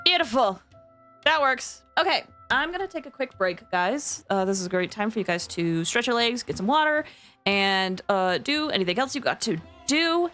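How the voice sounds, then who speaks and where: Woman game streamer, fairly high lively voice, giggly, exclamatory, casual chat, music in the background. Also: slow pace.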